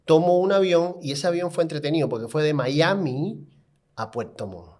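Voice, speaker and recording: A man from Cuba, about fifty, co-hosts a casual podcast. His voice sits a little high for a man. He tells his story playfully, teasing himself as he goes. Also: animated, moderate pace, a little mellow. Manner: joking, self-mocking storyteller. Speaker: podcast co-host.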